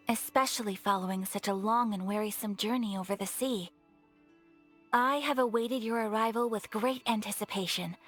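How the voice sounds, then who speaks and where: A woman voicing a refined young noble lady in a video game, with gracious, formal, measured speech. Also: mid-range voice, a bit bright, dry recording, close to an everyday voice.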